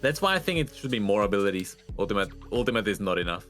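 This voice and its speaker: A man's voice: fairly low pitch, sing-song, fast talker, a bit bright, soft background music. A man chatting casually and emphatically on a gaming podcast, repeating phrases to make his point. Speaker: podcast panelist.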